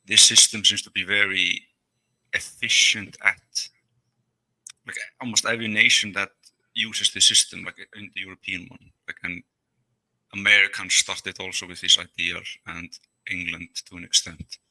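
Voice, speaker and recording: A man chiming in on a casual podcast discussion, halting and restarting his phrases. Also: deep voice, flat delivery, pushed and bright, no room sound, poor audio.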